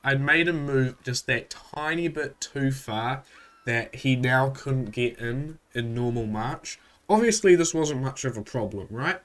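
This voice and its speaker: A man with a stuffy voice from a cold, hosting a casual, relaxed recap of a strategy game with frequent fillers. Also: mid-range voice, a little slow. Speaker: gaming channel host.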